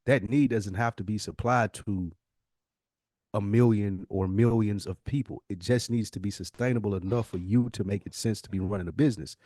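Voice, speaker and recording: A man's voice: lowish voice, fairly even intonation, moderate pace, darkish tone, no room sound. An American man co-hosting a podcast, talking casually in long, emphatic, opinionated explanations. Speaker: podcast co-host. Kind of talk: casual conversational discussion.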